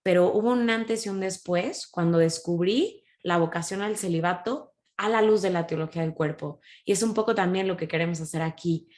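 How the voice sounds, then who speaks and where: Enthusiastic woman hosting a podcast. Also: medium pitch. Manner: enthusiastic, rambling with restarts. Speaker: podcast host. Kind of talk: casual podcast introduction.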